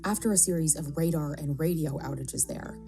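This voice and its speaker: A woman's voice: low voice, fairly quick. A woman reading scripted news narration in the role of a video report narrator. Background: soft music bed.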